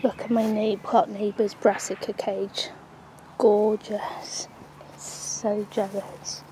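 Relaxed, slow-talking woman outdoors. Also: mid-range voice, slight background noise, rough recording. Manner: chatty, rambling, frequent ums. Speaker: allotment vlogger. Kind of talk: casual gardening vlog narration. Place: sunny outdoor allotment garden. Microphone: handheld camera, speaker off screen.

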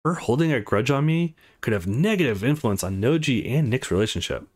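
A man voicing an intense, protective young man in a visual novel, earnest and forceful. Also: mid-range voice, lively intonation, a little fast, natural voice. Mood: resigned.

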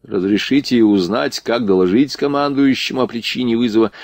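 A man's voice: mid-range voice, brisk, a little mellow, no room sound, natural voice. A man voicing a dutiful, reserved naval lieutenant in an audiobook, formal and politely firm. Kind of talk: audiobook character dialogue.

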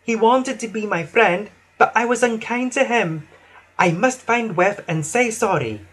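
A man's voice: sits high, lively intonation, unhurried, fairly bright tone, husky, pitched above the performer's own voice, exaggerated voice, poor audio. A man voicing an earnest, proud and fretful female steam engine in a children's storybook. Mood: regretful.

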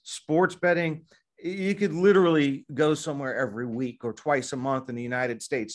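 Chatty middle-aged man on a video call. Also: on the high side. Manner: chatty, digressive, animated when joking. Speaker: podcast co-host, sports lawyer. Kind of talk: casual legal podcast chat. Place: hotel room in Midtown Manhattan. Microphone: laptop webcam video call.

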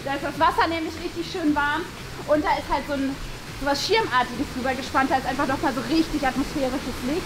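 High-voiced young woman over loud rushing water. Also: fairly even intonation. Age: young adult. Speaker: vlog participant, seeker in a game. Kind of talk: casual running commentary while walking. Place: large indoor swimming pool hall. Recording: very noisy pool hall, rushing water.

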